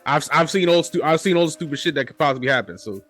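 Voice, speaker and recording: A man's voice: on the high side, lively intonation, fast, a bit bright, soft background music. A man hosting a casual podcast interview, talking loosely with many restarts and fillers. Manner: loose, restarts often, lots of "you know". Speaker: podcast host.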